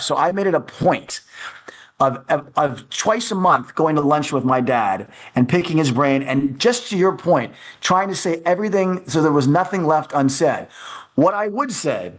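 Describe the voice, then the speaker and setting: An American man answering as an interview guest, in a conversational way, often halting as he thinks aloud. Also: fairly high pitch. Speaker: guest, music producer.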